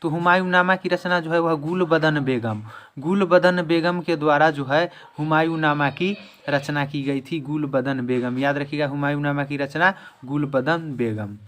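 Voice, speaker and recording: A man's voice: fairly high pitch, fairly even intonation, normal speed, a bit bright. A man giving a quiz-style history lesson as an exam-prep teacher, restating each question and answer.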